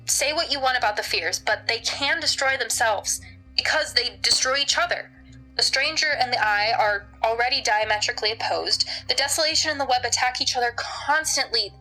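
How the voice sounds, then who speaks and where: A woman playing a pragmatic, unflappable occult researcher, measured and matter-of-fact in a naturalistic audio drama. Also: fairly high pitch, bright, forceful tone, low-quality recording.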